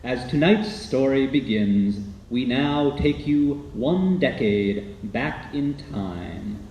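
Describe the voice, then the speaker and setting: A man's voice: medium pitch, expressive, takes it slowly. A man playing a courteous, polished host announcing an old-time radio playhouse in a formal, showmanlike way. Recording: roomy echo, faint background noise.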